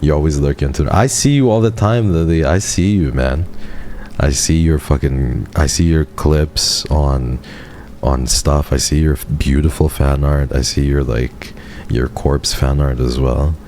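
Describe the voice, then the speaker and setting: A man in his twenties with an extremely low voice chats casually with his livestream viewers. He rambles warmly and often leaps upward in pitch when something excites him. A faint hiss sits under the desk-mic recording. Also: a little slow. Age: 20s. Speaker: VTuber streamer.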